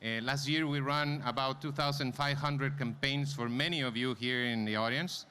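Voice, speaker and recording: A man's voice: mid-range voice, steady tone, moderate pace, pushed and bright. A man giving a live conference presentation, speaking informally and directly to the audience. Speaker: conference presenter, company vice president. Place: conference stage before an audience. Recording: clear background noise, live event room.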